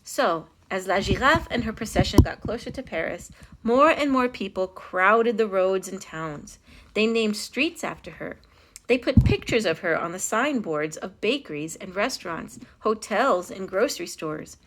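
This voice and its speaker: A woman with a bright, forward voice reads a children's story aloud. Her delivery is expressive and dramatic, leaning on key phrases as a storytime host does. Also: medium pitch, normal speed, slight background noise, low-quality recording. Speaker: storytime host reading a picture book.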